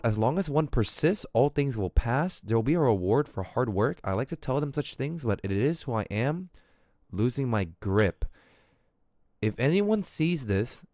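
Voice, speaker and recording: A man's voice: mid-range voice, darkish tone, no room sound, clean studio sound. A man livestreaming a game, reading in-game text aloud and commenting in a casual, slangy way. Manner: casual, slangy, mild swearing. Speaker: streamer.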